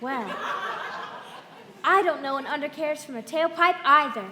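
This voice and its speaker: Bright, perky radio-ad woman, acted on stage. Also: high-pitched, moderate pace, slight background noise. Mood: chirpy, confiding. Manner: chirpy, exaggerated salesy delivery. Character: perky, chummy woman pitching in an old radio commercial. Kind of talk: 1940s radio advertisement acting. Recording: echoey hall, stage live, music behind.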